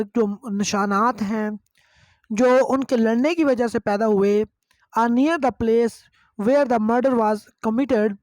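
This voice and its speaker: A woman's voice: fairly high pitch, a little mellow, dry recording. A woman with a Pakistani accent lecturing on law, reading out phrases and explaining them didactically, switching between two languages and repeating herself. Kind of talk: lecture reading statute in English and explaining it line by line.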